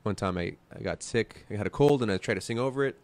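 Male guest singer, low voice, relaxed, chuckles a lot, lots of "you know", small studio desk mic. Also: fast talker. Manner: laid-back, laughs often, fills with "you know". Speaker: band vocalist guest. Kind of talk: casual podcast chat. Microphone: desk mic with headphones.

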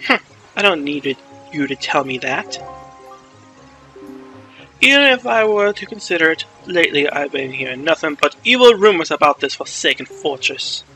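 A man's voice: on the high side, very animated, moderate pace, pushed and bright, soft background music, pitched below the performer's own voice, low-quality recording. A man voicing a brash, scornful young pirate girl captain from a video game, bossy and dismissive.

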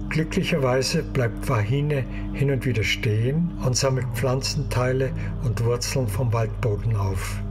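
A man narrating an audio drama in a measured storytelling manner. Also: medium pitch.

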